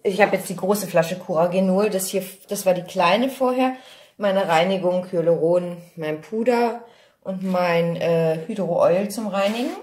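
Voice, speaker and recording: A woman's voice: lowish voice, measured pace, a little mellow. A woman in her thirties from Germany chats casually to her vlog, rambling and thinking aloud, recorded on a phone at arm's length indoors at home. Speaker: vlogger. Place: indoors at home, kitchen, hallway and bathroom.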